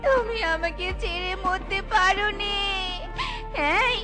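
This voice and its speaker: A woman's voice: very high-pitched, takes it slowly, bright, forceful tone, full character voice. A woman voicing a scheming, bossy young fox wife in a cartoon, with a theatrical, exclamatory delivery. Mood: mock wailing. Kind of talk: cartoon voice acting. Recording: children's cartoon music behind voice.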